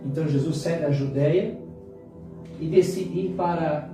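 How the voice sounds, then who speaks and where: A Brazilian man in his late fifties preaching a sermon as a pastor, using dramatic pauses and repetition for emphasis. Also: a little high. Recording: very echoey hall, distant mic, music behind. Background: music.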